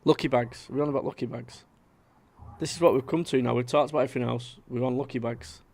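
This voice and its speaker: A man's voice: medium pitch, steady tone, quick pace, creaky, darkish tone. A man, chatting casually to viewers on a live stream and reading out their comments. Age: 30s. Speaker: livestream host.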